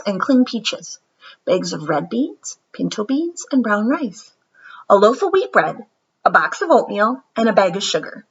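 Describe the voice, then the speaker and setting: A woman narrates a picture book with animated, dramatic delivery. Her voice swings widely in pitch and has a husky edge, on a slightly muffled recording. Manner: animated, dramatic storytelling with whispered asides. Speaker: storybook reader. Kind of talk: expressive read-aloud narration of a children's picture book.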